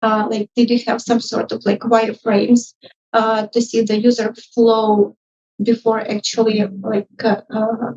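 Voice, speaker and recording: Woman, non-native English speaker, highish pitch, tentative, informal audience feedback, distant muffled recording. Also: monotone, unhurried, a little mellow. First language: not English. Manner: hesitant, tentative, apologetic. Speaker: audience member, junior UX designer. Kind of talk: informal spoken feedback and questions. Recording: muffled live event audio, distant mic.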